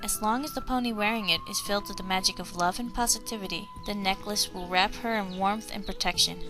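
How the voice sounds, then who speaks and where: A woman voicing a kind grown-up female pony princess in a storybook, a gracious elder-sister mentor giving gentle, solemn advice. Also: mid-range voice, fairly quick, over music, close to an everyday voice. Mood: solemn, cautioning.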